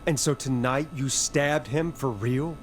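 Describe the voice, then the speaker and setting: An actor playing an earnest late-night radio DJ in a video game, questioning callers in a steady, probing manner. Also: male voice, mid-range voice, a little slow, soft background music, natural voice. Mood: firm. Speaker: radio host.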